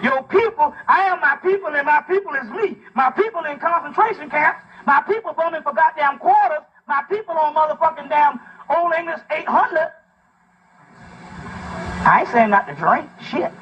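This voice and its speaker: A man with a very high-pitched voice delivers a fiery, informal lecture. He reads from a text and breaks it down emphatically, swearing freely and throwing out rhetorical questions like a preacher. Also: lively intonation, normal speed, lo-fi sound.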